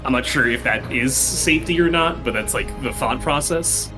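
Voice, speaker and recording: A man playing a tabletop game, chatting informally and thinking out loud, with a sweary streak. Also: medium pitch, normal speed. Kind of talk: casual game chat. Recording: music playing behind the voice. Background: music.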